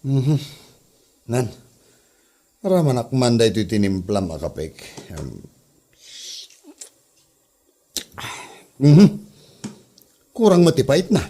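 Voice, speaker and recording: Man playing lazy, bossy office boss, animated. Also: mid-range voice, a little slow, long pauses. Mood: grumbling. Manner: grumbling, bossy. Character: lazy, demanding male office boss, fond of food. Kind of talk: comedy audio drama acting.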